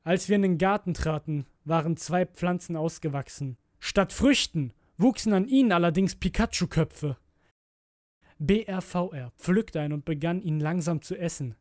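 Brooding male horror narrator, higher-pitched voice. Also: normal speed, a little mellow, no room sound, studio quality. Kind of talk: dramatic scripted narration of a creepypasta.

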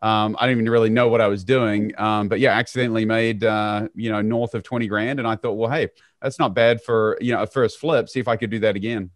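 Relaxed New man, dry sound. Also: fairly low pitch, fairly quick, few pauses, dry recording. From New Zealand. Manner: relaxed, frequent "um" fillers, self-deprecating. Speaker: guest, real estate CEO. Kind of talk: conversational storytelling interview. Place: small home office, indoors. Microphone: studio mic on boom arm, video call.

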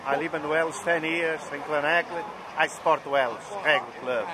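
A man's voice: on the high side, normal speed. A middle-aged man from Portugal speaking simple, friendly English with a Portuguese accent, outdoors in a busy square. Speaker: fan. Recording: crowd and street noise.

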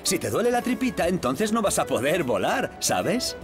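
A man's voice: high voice, sing-song, moderate pace, over music. A man playing a friendly, playful train conductor in a Castilian Spanish cartoon dub, speaking encouragingly to a small child. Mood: playful, kindly. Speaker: cartoon voice actor.

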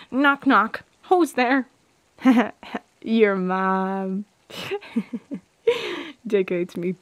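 A woman's voice: fairly high pitch, lively intonation, extremely slow, pauses often, no echo, heavily acted. A young woman playing a shy, teasing friend with a secret crush in an intimate ASMR roleplay, playful and a little hesitant.

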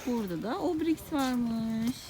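Woman, fairly high register, casual guide talking to a child, explanatory and questioning; noisy outdoor park recording. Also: expressive, unhurried. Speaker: adult guiding a child through a park.

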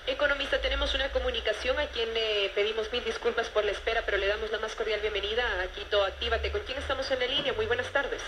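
A woman's voice: a little high, steady tone, quick pace, hardly any pauses, background noise. A woman hosting a radio show, greeting a caller courteously and apologizing for the wait. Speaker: radio host. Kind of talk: welcoming a caller on air.

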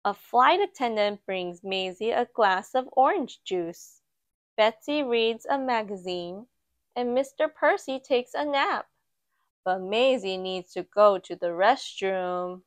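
A woman reading a children's picture book aloud in a gentle, expressive storytime voice. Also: medium pitch, dry recording. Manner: slow, gentle and expressive, lifting for quoted lines. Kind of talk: read-aloud storytime narration. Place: indoors at a table, book filmed from above.

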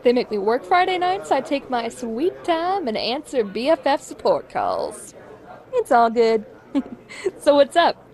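High-voiced, chatty young best friend. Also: female voice, sing-song, a little slow, darkish tone, some chatter behind. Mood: breezy. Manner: chatty, wry. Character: breezy, teasing young female best friend. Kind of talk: naturalistic audio drama acting.

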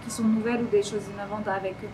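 A woman's voice: medium pitch, little pitch movement, very fast, noisy background. Thirties woman volunteer, earnest reflective delivery, recorded outside. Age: 30s. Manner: earnest, thoughtful, with hesitations. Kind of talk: casual testimonial. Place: outdoors.

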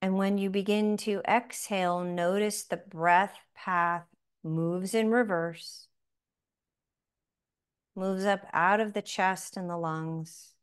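A woman leads a guided breathing meditation in a smooth, clear voice. She speaks very slowly and softly, leaving long pauses between short phrases. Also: lowish voice. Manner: slow, soft-spoken, with long pauses.

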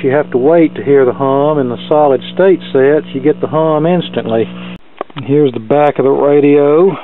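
A man's voice: fairly high pitch, narrow, tinny audio. A man giving a casual hands-on repair demonstration into a camera mic at a workbench. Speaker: radio repair hobbyist presenting. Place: indoor cluttered workshop bench.

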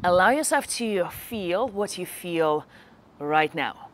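A woman leading a guided meditation, giving slow, gentle instructions with long pauses between phrases. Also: lowish voice, expressive, noisy background.

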